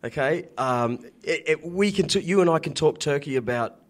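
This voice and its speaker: A man's voice: on the high side, lively intonation, normal speed. Rambling man hosting. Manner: rambling, stumbling over words. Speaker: podcast host. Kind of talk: casual interview chat.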